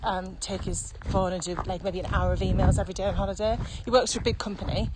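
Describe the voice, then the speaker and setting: Female podcast co-host, medium pitch, informal conversational delivery, very noisy recording. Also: fairly even intonation, fast talker. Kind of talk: casual chat. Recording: very noisy background with clicks.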